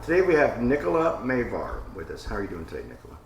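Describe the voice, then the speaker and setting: A man hosting a casual podcast, relaxed and loose, with frequent pauses and fillers. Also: fairly low pitch, soft background music. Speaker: podcast host.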